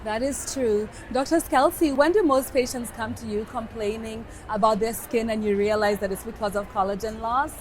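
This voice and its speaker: A woman's voice: fairly high pitch, normal speed, hardly any pauses, low-quality recording. A woman from Kenya with a Kenyan accent, an upbeat dermatologist hosting a podcast recorded live at a conference. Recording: live event with background noise.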